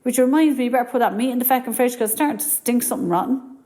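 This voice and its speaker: An actress playing a blunt, sarcastic grown-up daughter with a Dublin accent in a comedy radio drama. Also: female voice, fairly high pitch, rapid-fire, natural voice. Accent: Dublin Irish.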